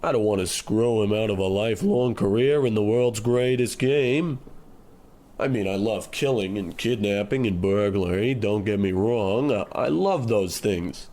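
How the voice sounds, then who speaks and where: An actor playing a morose, earnest small-time gangster son in a comic old-time radio play. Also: male voice, medium pitch, a little slow, darkish tone, lightly acted. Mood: troubled, earnest. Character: glum small-time gangster son who loves boxing.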